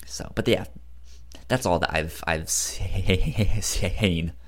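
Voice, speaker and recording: A man with a stutter and a halting delivery, casually discussing films as a podcast co-host. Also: sits low. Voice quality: stutter, repeats first sounds of words. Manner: halting, hesitant delivery with frequent restarts.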